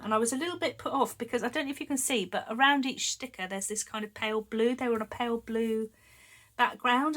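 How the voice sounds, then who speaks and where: Brit lady in her 50s showing off her planners, bit wheezy from a chest bug, chatty n giggly, fairly high voice. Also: fairly bright tone. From United Kingdom. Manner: chatty, giggly, with many ums. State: slightly wheezy from a chest infection. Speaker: planner vlogger. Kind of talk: casual show-and-tell chat.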